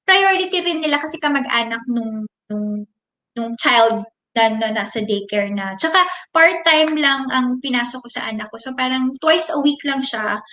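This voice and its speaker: A woman's voice: high voice, normal speed, bright, forceful tone, roomy sound. A woman in her thirties with a Filipino accent, hosting a casual live question and answer stream and reading viewers' comments aloud. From Philippines. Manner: friendly, reads viewer comments aloud, explains at length. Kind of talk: casual live Q&A, switching between English and Tagalog.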